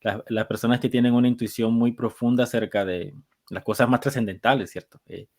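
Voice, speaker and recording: A man hosting a podcast, asking questions in a thoughtful way and pausing to search for his words. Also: medium pitch, fairly even intonation, a little mellow. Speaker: podcast host. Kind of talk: conversational interview.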